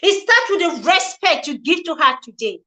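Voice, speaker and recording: A woman in her forties hosts a live relationship talk in a bright, ringing voice. She speaks earnestly and preaches in repeated phrases. She stops to greet viewers by name. Her voice sits high. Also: big pitch swings, normal speed. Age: 40s. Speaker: relationship show host.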